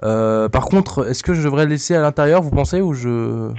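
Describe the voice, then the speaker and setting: A man's voice: medium pitch, animated, normal speed, a little mellow, clear, resonant tone, no room sound, close to an everyday voice. A man playing an anxious, bumbling cat owner on a prank phone call, hesitant and stammering, repeating himself. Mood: worried. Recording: noisy phone prank recording.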